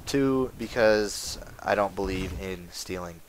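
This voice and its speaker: A man talking casually over his gameplay footage, rambling with lots of fillers, his voice going hoarse. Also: sits low, slow, a little hiss or hum. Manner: rambling, full of uh fillers, chatty. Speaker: gaming video maker. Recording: game music playing underneath. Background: game music.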